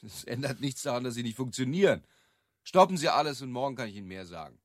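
A man's voice: mid-range voice, lively intonation, quick pace, no echo, studio quality, lightly acted. An actor playing an exacting, impatient male advertising boss with a curt, decisive way of talking in naturalistic radio drama. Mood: brisk.

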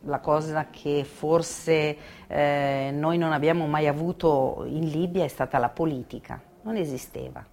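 Creaky, lowish older woman reminiscing. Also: steady tone, a little slow, few pauses, a little mellow. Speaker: witness giving testimony. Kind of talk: spontaneous recollection.

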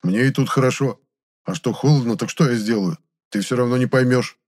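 A man's voice: mid-range voice, a little fast, darkish tone, voice pushed up, lightly acted. Man doing a whiny, stubborn young sidekick. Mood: whining, indignant. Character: whiny, stubborn, argumentative young sidekick, easily cold and offended.

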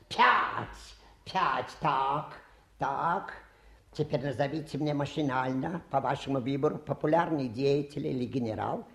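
An old travelling fakir in a radio drama, self-pitying and grand by turns. He speaks slowly with sighing, theatrical patter, and his pitch swings widely from mournful drops to excited peaks. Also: creaky, full character voice. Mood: showy. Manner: plaintive, showy patter with sighs and grand pronouncements. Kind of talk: theatrical radio play acting.

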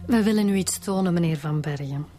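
Actress as a brisk Flemish detective, music behind. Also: female voice, lowish voice, close to an everyday voice. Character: brisk, inquisitive female detective. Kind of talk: radio drama acting.

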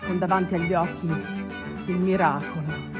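A woman playing a flamboyant, sardonic master of ceremonies on an ocean liner, showy and declamatory, with quick dry asides. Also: on the low side, fairly even intonation, over music, lightly acted. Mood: sardonic, playful. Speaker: stage actor. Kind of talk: theatrical comic announcement.

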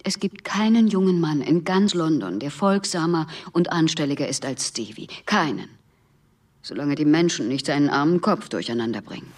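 A practical, protective wife in a radio drama, with a low, husky voice. Her earnest, matter-of-fact speech is flexible and animated in pitch. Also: moderate pace.

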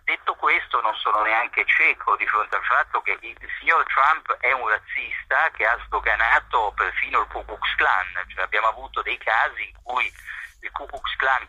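A man, a journalist, answering interview questions in a measured way, pausing often with fillers. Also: fairly low pitch, bright, forceful tone, breathy edge, dry recording, rough recording. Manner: measured, hesitant with frequent fillers.

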